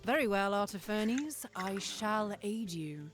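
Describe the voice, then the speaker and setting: An actress playing a proud, imperious, cunning warrior queen with a haughty, deliberate manner in a video game. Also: medium pitch, lively intonation, soft background music. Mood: cool, haughty. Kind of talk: dramatic video game dialogue.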